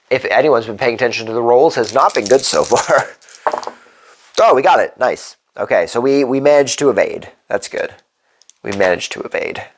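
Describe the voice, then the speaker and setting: A congested man hosting a solo board game playthrough, thinking aloud as he works through the rules and dice rolls. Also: on the low side. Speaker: board game channel host.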